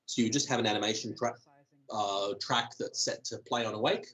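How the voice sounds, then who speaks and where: A man with a low, husky voice answers questions in a relaxed technical chat. He is losing his voice and talks in stops and starts, full of ums and restarts as he thinks aloud. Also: fairly quick, rough recording. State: losing his voice, clearing throat. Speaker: developer answering community questions. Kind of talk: casual technical Q&A.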